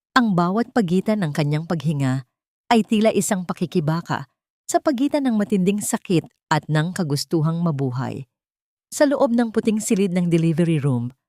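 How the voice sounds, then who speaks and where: A woman's voice: lowish voice, darkish tone, no echo, studio quality. A woman narrating a scripted dramatic audio story in a solemn, literary storytelling manner.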